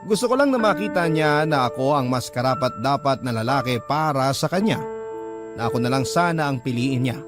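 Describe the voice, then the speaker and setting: A male actor playing a young man confiding his love story in scripted first-person radio drama narration, reflective and measured. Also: mid-range voice, lively intonation, lightly acted. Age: young adult. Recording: clear music bed under narration.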